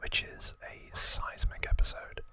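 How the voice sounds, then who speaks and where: A man hosting an ASMR video, narrating in a breathy, unvoiced whisper with a gentle, hushed delivery. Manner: slow, gentle, hushed delivery with drawn-out words. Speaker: host of an ASMR channel.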